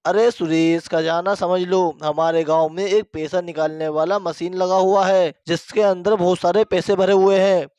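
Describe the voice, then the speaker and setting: A man playing a high-voiced greedy cartoon thief. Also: fairly even intonation, normal speed, few pauses, darkish tone, smooth, dry recording, natural voice. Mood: boastful, excited. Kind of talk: cartoon moral story dialogue.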